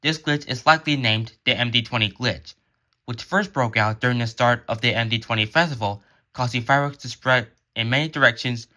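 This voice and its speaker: A man's voice: mid-range voice, a little fast, bright, forceful tone. A man reading scripted emergency alert narration in a formal, solemn announcer manner.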